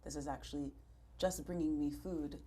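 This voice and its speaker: Woman, TV news interviewer, slightly low voice, friendly, conversational. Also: steady tone, moderate pace, mellow, slightly rough.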